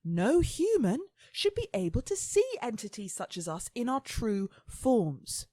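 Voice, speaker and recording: A woman's voice: fairly high pitch, sing-song, unhurried, warm tone, no echo. Woman playing a haughty, dry-sounding immortal, swooping delivery. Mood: grave. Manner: scornful, sardonic, sweary. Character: haughty, impatient female immortal entity of death and war. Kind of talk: radio play acting.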